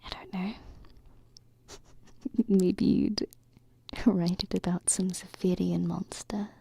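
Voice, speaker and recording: A woman voicing a gentle, wistful alien princess in a breathy whispered ASMR roleplay, close to a binaural mic. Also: on the low side, level tone, full character voice. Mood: gently wondering. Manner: slow, tender, reflective, with pauses. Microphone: close binaural microphone. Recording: close-up whisper with faint background noise.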